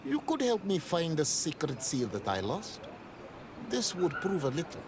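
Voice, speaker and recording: A wary acolyte of a sacred village in a video game. His voice sits a little high and he speaks formally and earnestly, on guard against strangers. Clear ambient noise runs behind him. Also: wildly swooping pitch, normal speed. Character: wary male village acolyte, distrustful of outsiders. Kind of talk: video game cutscene acting.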